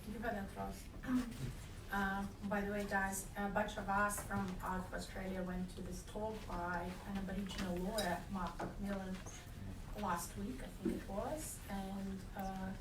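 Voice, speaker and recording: A woman's voice: mid-range voice, little pitch movement, moderate pace. A woman moderating a panel discussion in a casual, chatty way. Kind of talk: hosting a panel Q&A. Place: event room. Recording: echoey room recording from a distance with background noise.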